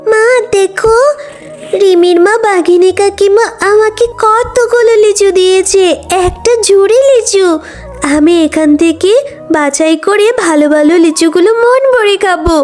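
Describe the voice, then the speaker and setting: A woman's voice: very high voice, measured pace, a little mellow, voice pushed up. A woman voicing an eager little animal girl in a cartoon, childlike and wheedling, with music behind. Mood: excited.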